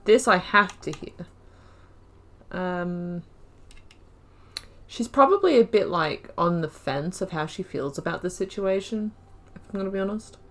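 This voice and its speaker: A woman's voice: fairly low pitch, lively intonation, unhurried, pauses often, fairly bright tone. A woman giving casual, chatty commentary on her video game playthrough, giggling and muttering asides. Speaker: gaming YouTuber. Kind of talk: casual let's-play commentary.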